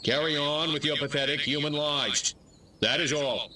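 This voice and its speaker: An actor playing a smug, condescending impostor mayor giving a public speech in a video game. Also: male voice, fairly high pitch, fairly even intonation, moderate pace, pushed and bright. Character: smug fake male mayor, an alien impostor condescending to humans. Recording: distant voice amid noisy night ambience.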